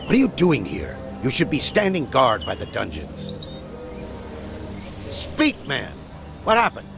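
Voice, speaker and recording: An actor playing a gruff, demanding captain of the guard in a video game, blunt and commanding with a gravelly voice. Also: male voice, medium pitch, huge pitch swings, unhurried, music in the background, heavily acted. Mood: demanding, alarmed. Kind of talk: dramatic video game cutscene acting.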